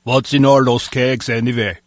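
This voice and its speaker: A man's voice: mid-range voice, little pitch movement, moderate pace, no room sound, clean studio sound, natural voice. An actor playing a mild, inquisitive male guard in a video game, talking conversationally. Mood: curious. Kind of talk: video game character dialogue.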